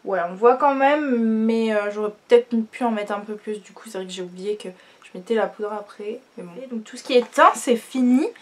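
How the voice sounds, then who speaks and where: A young woman with a slightly high voice, congested from a cold, talks through a makeup routine at home. She chats casually, fills gaps with hesitations and lets her sentences fade off quietly. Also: fairly quick. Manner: chatty, many fillers, trails off quietly. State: stuffy nose from a cold. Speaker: beauty vlogger. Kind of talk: casual makeup tutorial chat.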